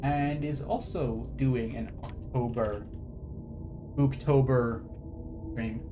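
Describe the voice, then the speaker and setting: A man's voice: mid-range voice, steady tone, slow pace, background noise. A man chatting casually on a live stream, rambling with swearing, fillers and restarts. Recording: stream audio with game music behind.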